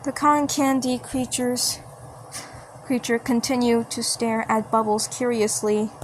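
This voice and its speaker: A woman narrating an amateur fan story in a halting way, often repeating phrases. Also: on the high side, a little hiss or hum, low-quality recording.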